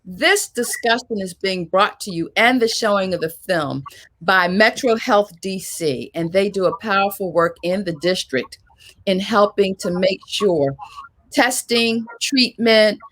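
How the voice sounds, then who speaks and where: A woman's voice: medium pitch, a little slow, a bit bright. Woman moderating a panel, speaking emphatically. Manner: emphatic, complimentary introductions. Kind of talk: hosting a virtual panel discussion.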